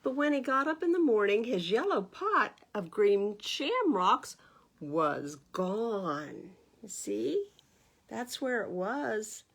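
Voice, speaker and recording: A woman's voice: on the high side, very animated, slow pace, creaky. A woman hosting a children's storytime, reading a picture book aloud and chatting to young listeners with questions and explanations. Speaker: library storytime host.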